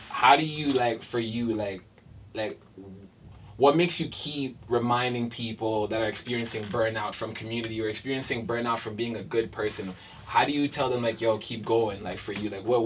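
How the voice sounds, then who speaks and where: A man's voice: on the low side, fairly even intonation, a little fast, recorded from a distance, a little hiss or hum, low-quality recording. A man interviewing a guest in a casual, slangy, laid-back way, often laughing.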